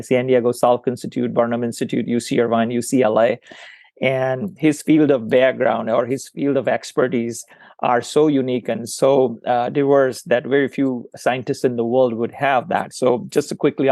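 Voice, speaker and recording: Male exec guest, mid pitch, little melody, dry close recording, promotional tone. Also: a little mellow, dry recording. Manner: enthusiastic, promotional, some uh fillers. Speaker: company executive guest. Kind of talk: podcast interview.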